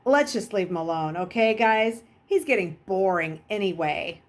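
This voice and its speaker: A woman's voice: mid-range voice, expressive, moderate pace, close to an everyday voice. A woman voicing a cocky, mocking teenage boy who leads his gang, brusque and offhand, in an audiobook. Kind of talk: audiobook character voice.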